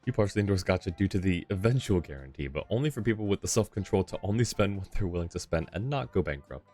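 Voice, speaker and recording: A man's voice: low voice, lively intonation, fast, darkish tone, soft background music. A man livestreaming a video game, reading along and adding casual, dry, joking asides into a close mic.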